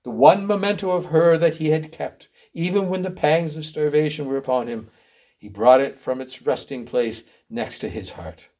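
A man plays an earnest author reading aloud. He has a fairly high male voice and a theatrical, sentimental, slightly pompous style of storytelling. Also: moderate pace, a little mellow, close to an everyday voice. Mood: sentimental narration. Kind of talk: theatrical literary narration.

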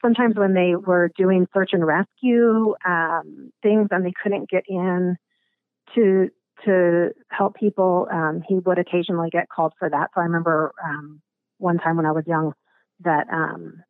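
Raspy woman on a thin phone line. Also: lowish voice, fairly even intonation, no echo. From Alaska. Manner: halting, many ums and restarts. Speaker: guest. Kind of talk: casual interview.

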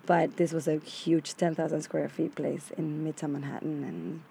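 A woman in her thirties from Argentina, a native Spanish speaker and restaurant owner, telling her story as a studio interview guest, animated and laughing between phrases. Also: low voice, normal speed, gravelly, husky.

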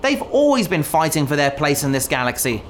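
Sci-fi audio drama: actress as reluctant pacifist heroine, hesitant and mild, low voice with swooping intonation. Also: female voice, big pitch swings, fairly quick, slight background noise. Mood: puzzled, defensive. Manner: hesitant, mild-mannered, protesting. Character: woman, reluctant pacifist space hero, sheepish and bumbling.

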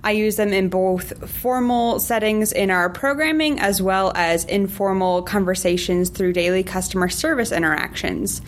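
A woman library associate speaks in full, formal sentences at a middle pitch. A faint hiss sits behind her voice. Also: normal speed, few pauses. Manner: articulate, formal. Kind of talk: panel discussion.

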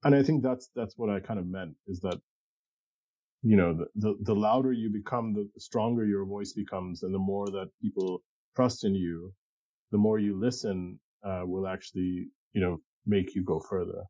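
A middle-aged man with a very mellow, husky voice speaks as a thoughtful interview guest. He talks casually and haltingly, repeating words as he works through his thoughts. The recording is close and dry, though a little dull. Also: on the low side, steady tone, no echo. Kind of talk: casual, reflective interview conversation.

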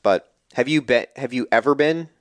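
A man's voice: medium pitch, moderate pace, dry recording. A man co-hosting a casual sports podcast, rambling and joking with plenty of restarts. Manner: rambling, jokey, lots of restarts. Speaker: podcast co-host.

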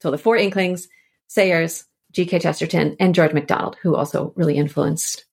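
A woman's voice: deep voice, fairly even intonation, normal speed, gravelly, a little mellow, husky. A woman speaking as a scholarly interview guest, explaining things informally in meandering, conversational phrasing. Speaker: scholar and author, podcast guest.

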